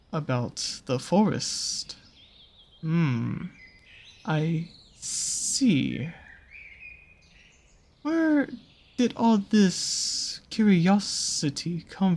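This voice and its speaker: A performer voicing a seductive predatory naga with a soft spot for cute creatures, speaking in a teasing, coaxing way in a roleplay audio drama. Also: mid-range voice, a little hiss or hum, heavily acted. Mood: amused, probing. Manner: slow, teasing, coaxing, with pet names and drawn-out pauses. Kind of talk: scripted roleplay audio drama addressed to the listener.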